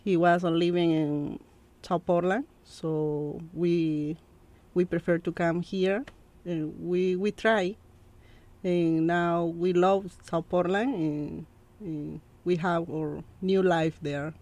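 A woman from Peru in her thirties with a Peruvian Spanish accent, a guest in an interview, speaking halting English with many fillers. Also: on the low side, fairly even intonation, darkish tone. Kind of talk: interview conversation.

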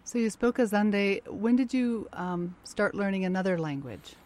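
Mellow-voiced woman interviewer. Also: mid-range voice, lively intonation, moderate pace. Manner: warm, encouraging questioner who echoes answers back. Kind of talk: conversational interview.

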